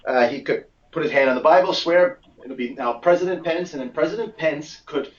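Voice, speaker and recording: Male guest analyst, slightly high voice, measured and halting explainer, muffled call sound. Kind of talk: explanatory conference call commentary. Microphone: conference call line. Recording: muffled, echoey, far from the mic.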